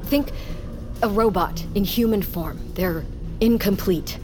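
A woman playing a guarded, remorseful geneticist in an audio drama, speaking in a clipped, grave, measured way. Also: medium pitch, close to an everyday voice. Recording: clear music bed underneath.

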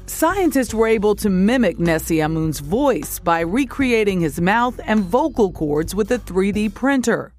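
Unhurried female news reporter over faint music. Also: medium pitch, expressive, darkish tone. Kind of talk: scripted TV news report narration.

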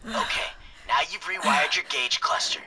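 A man's voice: on the high side, lively intonation, normal speed, noisy background, close to an everyday voice. An actor playing a cocky, slangy man instructing viewers in a DIY tutorial video in an audio drama. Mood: upbeat, instructional.

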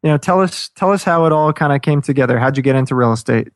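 A man's voice: medium pitch, expressive, fast talker, no echo, clean studio sound. A man hosting a podcast, talking energetically with lots of fillers and repeated words. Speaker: podcast host.

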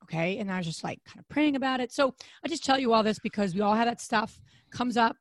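A woman in her thirties co-hosting a podcast, with a deep, raspy, hoarse voice, chatting casually and rambling with fillers and restarts. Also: lively intonation, quick pace, dry recording. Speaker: podcast co-host.

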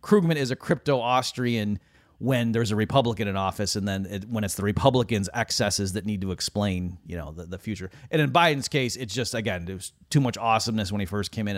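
A man's voice: fairly low pitch, quick pace, no room sound, studio quality. A man co-hosting a conversational podcast discussion, talking animatedly and quoting others in put-on voices. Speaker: co-host.

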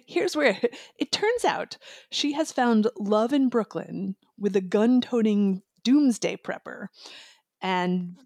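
A woman's voice: mid-range voice, lively intonation, takes it slowly, darkish tone, dry recording, clean studio sound. A woman discussing an audiobook conversationally, as a reviewer. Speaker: audiobook reviewer and show guest.

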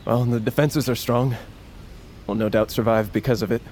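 A man's voice: medium pitch, steady tone, normal speed, a little mellow, natural voice. A man acting in an audio drama as a wounded, guarded son set on vengeance, speaking tersely and hesitantly. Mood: guarded, uneasy. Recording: clear outdoor ambience noise behind.

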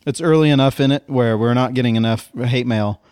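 A man's voice: mid-range voice, brisk, clean tone, dry recording, studio quality. Chatty man podcast host explaining. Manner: animated explainer, talks in long runs with "right?". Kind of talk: casual chat.

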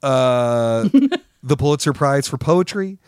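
Guy podcast cohost, chatty and emphatic, lively mid-pitched voice, dry close mic. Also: slow pace, clean tone, no room sound. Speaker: podcast co-host. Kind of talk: casual podcast chat.

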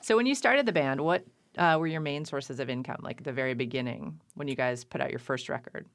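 A woman hosting a radio show about music, reading a scripted intro and then asking interview questions in a measured, conversational way. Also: very deep voice, vocal fry, no echo, studio quality. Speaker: radio show host and record label owner.

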